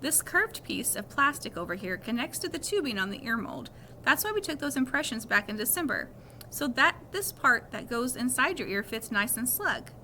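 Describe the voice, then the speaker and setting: A woman's voice: a little high, sing-song, moderate pace, bright, forceful tone, slight background noise, exaggerated voice. A woman voicing a friendly, patient male ear doctor in a storybook, upbeat and explanatory. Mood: upbeat explaining. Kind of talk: storybook read-aloud.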